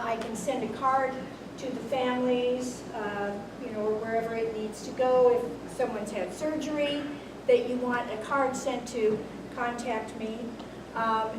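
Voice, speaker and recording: A woman in her sixties, a retired teacher, speaking loudly and slowly as a committee head at a club meeting. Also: a little high. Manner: slow, loud-voiced, speaks without a microphone. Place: meeting hall. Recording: echoey hall, voice from far off, background noise.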